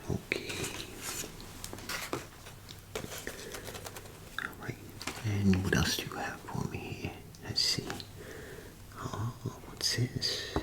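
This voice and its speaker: Man acting as Sal, slow whispering pawn shop owner. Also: steady tone, rough recording. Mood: curious. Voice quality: soft, breathy whisper with low creaky murmur. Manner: halting, muttering to himself, methodical. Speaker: ASMR performer. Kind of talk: whispered ASMR role play.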